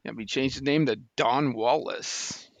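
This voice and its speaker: A man co-hosting a podcast, offering casual trivia commentary in a halting, explanatory way. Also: medium pitch, creaky, no echo. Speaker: podcast co-host.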